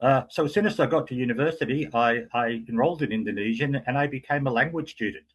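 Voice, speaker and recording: Husky Australian man giving a talk. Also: medium pitch, low-quality recording. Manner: measured, explanatory, with frequent fillers. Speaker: guest speaker. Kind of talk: lecture-style talk.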